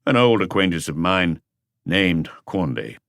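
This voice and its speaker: A man voicing a calm, laconic senior police detective in an audiobook, terse and authoritative. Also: deep voice, unhurried, creaky, dry recording, studio quality, full character voice. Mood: pensive. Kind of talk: audiobook character dialogue.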